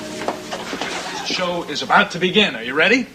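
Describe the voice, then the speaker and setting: An actor playing a genial doctor announcing a show to children in a television drama. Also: male voice, high-pitched, expressive, unhurried, music in the background. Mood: genial, rallying. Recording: loud noisy live room.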